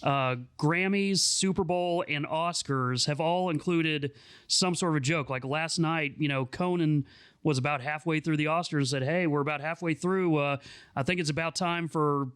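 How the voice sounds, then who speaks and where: A man's voice: on the high side, moderate pace, fairly bright tone, clear, resonant tone, dry recording, clean studio sound. A man chatting casually as a podcast co-host. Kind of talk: casual chat.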